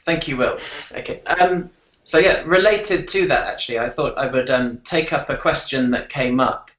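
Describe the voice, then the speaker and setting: A man leads an academic panel discussion in a relaxed, conversational way. His voice is roomy and slightly dull, with noticeable reverb. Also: medium pitch, normal speed.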